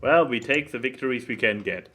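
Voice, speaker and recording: A man's voice: mid-range voice, a little fast, a bit bright. A man co-hosting a podcast in casual chat, with a hesitant, stammering delivery. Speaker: podcast co-host.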